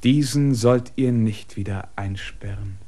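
An actor in a radio drama playing a messenger who delivers an official decision and reads aloud in a grave, formal manner. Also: male voice, on the low side, a little slow, mellow, natural voice.

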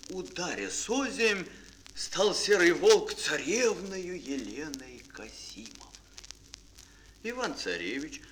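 A male storyteller performs a fairy tale for children with theatrical flair. His fairly high voice moves slowly and lingers dramatically on key words, swooping widely in pitch. Music plays behind him. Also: fairly bright tone, a little hiss or hum, poor audio. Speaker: storyteller, narrator.

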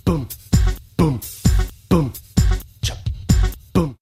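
A man's voice: a little high, drawn-out words. An actor in a radio play, playing a playful man voicing rhythmic dance-floor beats. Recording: long reverb, loud music. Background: loud music.

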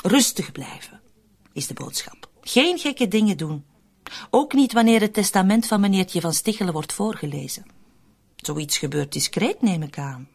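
A woman's voice: medium pitch, lively intonation, normal speed, creaky, slightly rough. An actress playing a scheming, mocking woman in a radio drama, sardonic and coolly in control. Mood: calm, bossy. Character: scheming, mocking woman fond of morbid jokes.

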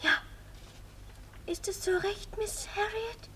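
A woman in a 1950s German radio play voicing a young girl medium, speaking in a hushed, halting, trance-like way. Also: very high voice, fairly even intonation. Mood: entranced, solemn. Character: young girl medium, Betty, relaying a ghost's messages. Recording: faint noise, 1950s radio studio recording.

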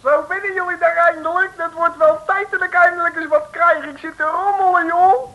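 An actor playing a chatty, slangy jailbird in a 1960s radio serial. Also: male voice, extremely high pitch, fairly even intonation, a little fast. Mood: excited. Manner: casual, slangy, colloquial. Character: chatty, rough-and-ready male jailbird. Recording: dull, faintly noisy old radio recording.

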